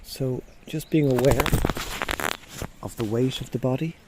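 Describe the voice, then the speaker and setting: A man leading a guided body scan meditation, giving gentle, unhurried instructions with frequent pauses. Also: mid-range voice, very noisy. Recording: faint outdoor nature sounds behind. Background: birds and nature sounds.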